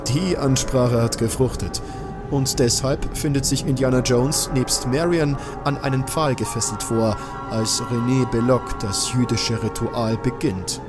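A man's voice: mid-range voice, measured pace, music in the background. A man delivering dramatic scripted narration for an adventure audio drama.

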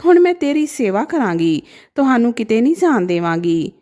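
A woman's voice: on the high side, very animated, brisk, mellow. A woman voicing a devoted young doctor daughter in a storybook tale, speaking earnestly and pleadingly to her father. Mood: tender, insistent.

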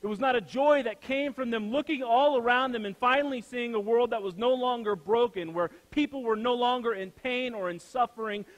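High-voiced young male pastor preaching. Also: rough recording. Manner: builds to loud emphatic peaks, then drops to soft low phrases. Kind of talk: sermon. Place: church sanctuary, speaking from the pulpit.

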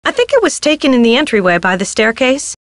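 Game innkeeper: affected mystic, dramatic and airy, higher register, dry studio sound. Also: female voice, wildly swooping pitch, fast, dry recording. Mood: chatty, earnest. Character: theatrical mystical woman innkeeper devoted to the spirits. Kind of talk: video game character dialogue.

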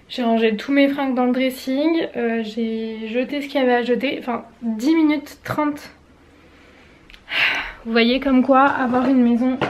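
A woman's voice: on the high side, a little mellow. Chatty young woman with a stuffy nose. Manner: chatty, talks to camera and to her baby. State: slightly stuffy, with a cold. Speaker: vlogger. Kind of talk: casual vlog chat. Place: home bedroom indoors. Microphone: handheld camera at arm's length.

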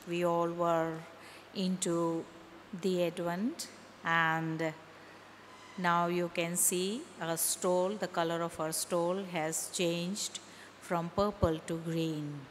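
A middle-aged woman pastor from India preaching a sermon at a pulpit, slow and deliberate, with pauses and rising emphasis. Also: lowish voice, steady tone, slight background noise. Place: large church hall, at a pulpit. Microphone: stand mic at the lectern. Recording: church hall reverb with faint ambience.

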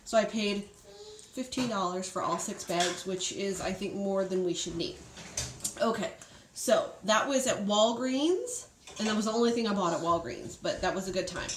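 A woman in her thirties, a vlogger, chatting casually and upbeat as she goes through her groceries and their prices, recorded on a handheld phone at home. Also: mid-range voice. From Ohio, United States. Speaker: vlogger showing a grocery haul. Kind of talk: casual chat to camera. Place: home room with groceries on a table, TV nearby. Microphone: phone camera held in hand. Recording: echoey room, background noise.

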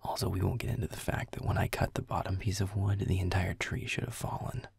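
A man's voice: deep voice, level tone, quick pace, creaky, slightly rough, dry recording, in a whisper, full character voice. A man playing a grumpy, defensive werewolf boyfriend in a roleplay audio, muttering sarcastically as he plays a video game. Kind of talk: roleplay audio, gaming chat with listener.

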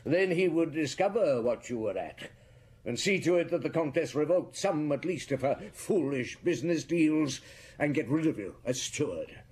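An actor playing a shrewd, world-weary police chief inspector in British radio drama, measured and probing, with a British accent. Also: male voice, a little high, lively intonation, a little mellow, husky. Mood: accusing, shrewd. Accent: British English.

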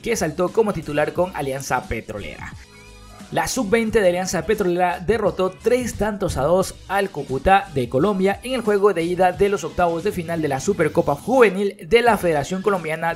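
A man hosting a football news channel, reading the day's headlines in a brisk newsy voice-over and speaking directly to viewers. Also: on the high side. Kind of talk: read-out sports news narration. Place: voice-over, not recorded at the pictured fields. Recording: voice-over with faint background music. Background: background music.

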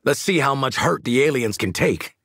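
A man's voice: mid-range voice, expressive, normal speed, creaky, a bit bright, breathy edge, no echo, clean studio sound, full character voice. An American man playing a cocky, hardened mercenary marksman and squad leader in a video game, brash, sardonic and commanding, with streetwise delivery. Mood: confident, brash. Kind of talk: video game combat barks and banter.

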